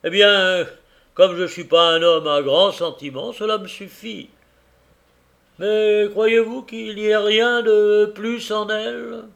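A sly, sneering toy merchant who answers with quick, mocking promptness, as a male reader puts him on. The voice sits high and loud over a gravelly base. It is told at an unhurried storybook pace. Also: steady tone, heavily acted.

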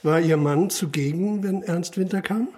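An actor playing a dogged police inspector in a historical radio drama, measured, dry and insistent, with a husky voice. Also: male voice, high voice, warm tone, natural voice. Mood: pressing. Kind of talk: radio drama dialogue and sober first-person narration.

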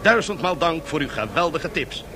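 An actor playing an excitable, boosterish 1920s American stock-exchange radio reporter in a Dutch radio drama, theatrically addressing his listeners like a salesman. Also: fairly high pitch, moderate pace, a little hiss or hum. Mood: jovial, salesmanlike. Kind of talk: 1970s Dutch radio drama, live broadcast commentary.

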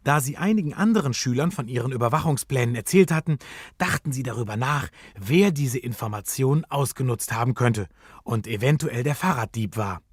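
Man narrating with very animated, swooping intonation. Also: medium pitch, brisk, breathy edge, no echo, clean studio sound. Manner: storytelling, suspenseful, dramatic emphasis. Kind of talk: scripted children's radio play narration.

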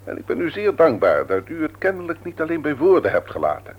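An actor playing a formal, self-important company boss in a Dutch radio play, pompous and emphatic. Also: male voice, fairly high pitch, huge pitch swings, fast, slightly rough, exaggerated voice. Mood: pompous, pleased. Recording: muffled old radio play recording.

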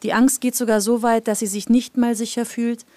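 A woman narrating a personal audio piece in an intimate, reflective, measured manner, from a script. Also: a little high, little pitch movement, dark tone, no room sound.

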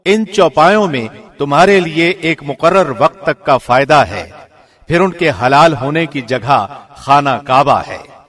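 A man with a fairly high voice reads scripture translation aloud. His delivery is formal and measured, in the declamatory manner of a radio presenter. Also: lively intonation, a bit bright. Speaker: translation reader, radio host. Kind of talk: scripted religious narration. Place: studio.

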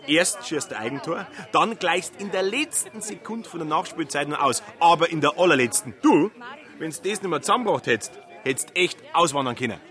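An actor playing an excitable, teasing football club mate with a Bavarian accent, emphatic and colloquial in a radio drama. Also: male voice, a little high, fairly quick. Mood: exasperated teasing. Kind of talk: naturalistic radio drama dialogue.

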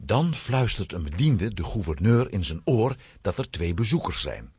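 A man narrating a scripted children's story with a husky voice. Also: lowish voice, very animated, moderate pace, a little mellow, dry recording.

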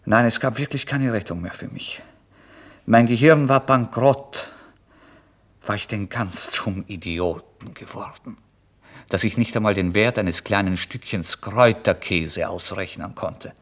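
A feverish, self-deceiving young writer on the edge of despair. His voice sits fairly low for a man. He delivers a literary radio monologue theatrically, moving between hushed brooding and louder outbursts. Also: a little slow. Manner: theatrical, volatile, swinging from hushed to loud outbursts. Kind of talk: dramatic literary monologue, radio play narration.

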